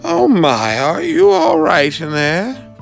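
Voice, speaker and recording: A man's voice: sits high, huge pitch swings, takes it slowly, creaky, soft background music, voice pushed up, full character voice. A man voicing a fussy, affectionate older aunt in an audio drama, with a doting, sing-song manner. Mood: fussing, caring.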